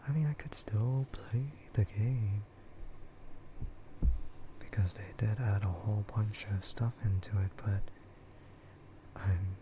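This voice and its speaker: A low-voiced man whispers his way through a casual ASMR stream, breathy and hesitant. He speaks slowly and rambles, close to the microphone. The sound is a little muffled, with noticeable background noise. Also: steady tone. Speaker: livestreamer and content creator. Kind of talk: whispered ASMR livestream chat.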